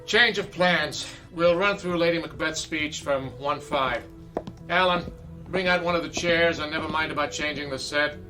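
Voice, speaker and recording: A strict, demanding theater director in a radio drama who barks clipped, commanding orders at his cast in a raised, bright, forceful voice. Faint music runs under the scene. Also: fairly high pitch, normal speed.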